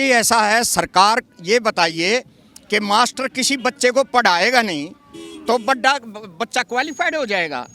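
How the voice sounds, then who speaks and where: Older man, emphatic, high and bright. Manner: emphatic, argumentative. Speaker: protesting farmer.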